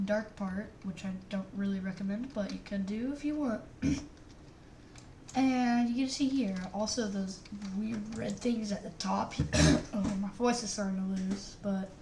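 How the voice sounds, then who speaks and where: A woman's voice: mid-range voice, fairly even intonation, moderate pace, a little hiss or hum. A woman giving casual, rambling commentary on a game while she plays it. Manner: narrates on-screen action while playing, loose and rambling. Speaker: YouTuber reviewing a game mod. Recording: roomy, distant mic under loud game music.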